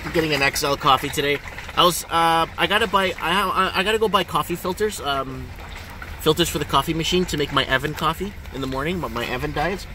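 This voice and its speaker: A middle-aged man, rambling casually to viewers in an animated way and repeating his questions emphatically. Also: on the high side, fast. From Ontario, Canada. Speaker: vlogger. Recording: engine and road noise in car.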